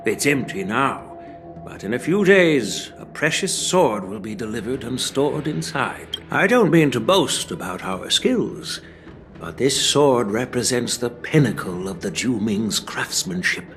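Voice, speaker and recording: Genial grandfatherly game elder, wry and courtly, measured yet expressive in pitch. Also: faint music behind. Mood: proud, genial. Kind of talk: dubbed video game cutscene dialogue.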